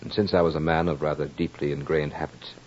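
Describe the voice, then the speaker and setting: A man's voice: a bass voice, little pitch movement, quick pace, a little mellow, slight background noise. An actor playing a brooding French nobleman and husband in an old-time radio horror drama, speaking in a formal, grave, theatrical manner. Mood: grave, haunted. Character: brooding French nobleman husband, narrating his tale of dread. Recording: muffled old radio recording with music.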